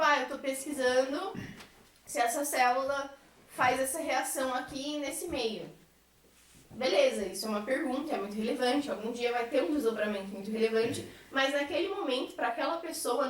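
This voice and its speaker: A young Brazilian woman in casual podcast conversation, explaining things with frequent conversational tags. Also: sits high. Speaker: member of a science theatre group. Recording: echoey room, distant from microphone.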